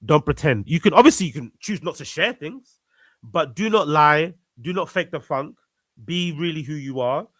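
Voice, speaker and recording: A man's voice: on the high side, expressive, moderate pace, fairly bright tone, no room sound. A man in a casual conversation, earnest and reflective, repeating phrases for emphasis. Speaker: podcast guest.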